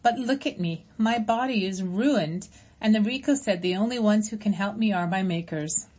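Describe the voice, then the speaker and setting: A woman reading a gentle, wounded female robot in a storybook, measured and earnest in manner. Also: medium pitch, husky, lightly acted. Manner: measured, earnest, plainly spoken. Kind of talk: audiobook character reading.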